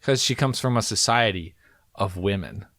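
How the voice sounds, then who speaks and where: A man co-hosting a podcast, chatting casually and reading film reviews aloud with quick asides and laughs. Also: fairly low pitch, fairly bright tone, dry recording. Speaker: podcast co-host.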